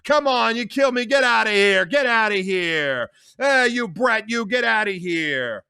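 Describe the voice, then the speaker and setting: A storyteller voicing a violent young husband who taunts his wife with loud, repeated orders. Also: mid-range voice, very animated, moderate pace, smooth, dry recording, voice pushed up. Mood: sneering. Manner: loud, sneering, repetitive taunting.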